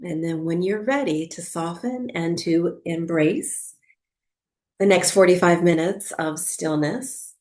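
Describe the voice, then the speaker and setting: A woman teaching breathwork and guiding a meditation for sleep, speaking softly in a soothing, unhurried way with long pauses. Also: lowish voice.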